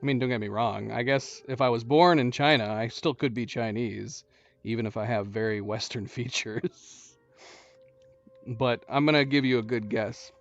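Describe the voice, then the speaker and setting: A man's voice: mid-range voice, moderate pace, highs cut off. Chatty American man streaming a game. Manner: chatty, laughs often, talks to chat. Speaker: gaming streamer. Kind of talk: casual live gameplay commentary. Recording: game music underneath.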